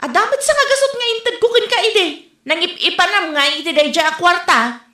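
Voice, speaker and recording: A woman's voice: very high voice, lively intonation, brisk, sharp tone, exaggerated voice. A woman playing a lazy, shameless elder sister and mother with a whiny, brazen manner in a comedy audio drama. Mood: irritated. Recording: echoey, roomy sound.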